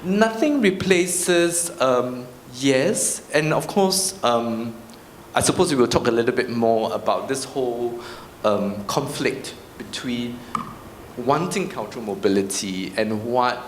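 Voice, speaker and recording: A man's voice: medium pitch, takes it slowly. A man with a Singaporean accent speaking informally on a panel, explaining his points conversationally and checking in with short tag questions. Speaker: panel speaker, arts practitioner. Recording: roomy echo, clear background noise.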